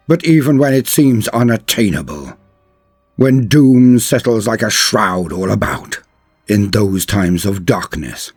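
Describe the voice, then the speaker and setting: A man voicing a noble emperor in a solemn, grand and measured oration about his warrior sons. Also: mid-range voice, darkish tone, breathy edge, dry recording, clean studio sound, full character voice.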